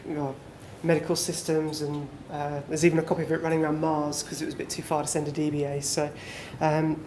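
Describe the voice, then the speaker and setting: A man leads a relaxed, chatty session in a small conference room, leaning on fillers as he talks. His delivery stays flat and even. The room is echoey with faint noise behind him. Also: on the high side, fast. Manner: relaxed, filler-heavy, conversational. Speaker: presenter. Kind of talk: conference talk.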